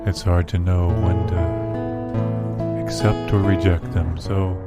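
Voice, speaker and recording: A man playing a wistful, lovelorn astronaut in an audio theatre monologue, halting and solemnly poetic, with deadpan seriousness. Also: deep voice. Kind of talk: slow dramatic monologue over guitar, audio theatre. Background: loud plucked guitar music.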